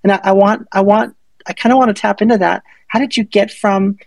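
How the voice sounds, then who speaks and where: A woman's voice: mid-range voice, steady tone, gravelly, a little mellow, no room sound. A woman hosting a casual live audio chat, joking with the audience and drawing out her questions. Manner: playful, joking, drawn-out questions.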